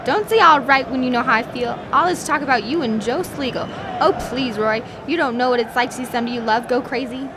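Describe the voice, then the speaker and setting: Woman playing anxious American sweetheart, high voice, urgent, pleading, melodramatic, noisy vintage radio recording. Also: fast talker, hardly any pauses, close to an everyday voice. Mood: desperate pleading. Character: fearful, devoted girlfriend of a boxer, emotional and imploring. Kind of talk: 1940s radio acting.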